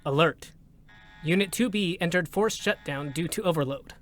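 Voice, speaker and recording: A man voicing a formal, impassive support robot, with clipped, procedural, deadpan delivery in a dramatic reading. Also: fairly high pitch, normal speed, close to an everyday voice. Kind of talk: dramatic reading of game characters. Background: music.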